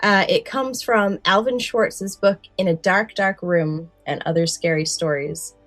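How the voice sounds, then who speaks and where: A woman's voice: medium pitch, a little slow, a bit bright, dry recording, soft background music. A woman co-hosting a podcast, speaking conversationally about things she has chosen to share. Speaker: podcast co-host. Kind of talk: casual podcast chat with some prepared narration.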